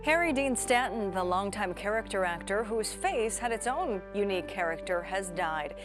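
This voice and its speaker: A woman's voice: mid-range voice, animated, moderate pace, over music. A woman with an American accent reading scripted television news as an anchor. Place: TV studio.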